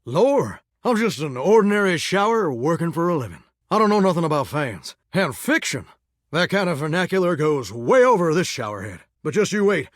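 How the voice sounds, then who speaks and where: A man voicing an earnest, excitable shower come to life as a folksy country singer with dreams, in an American Southern accent, for a video game. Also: a little high, normal speed, dry recording, studio quality. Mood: determined, folksy. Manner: folksy, earnest, flirty drawl with dramatic swoops. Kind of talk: video game character voice acting.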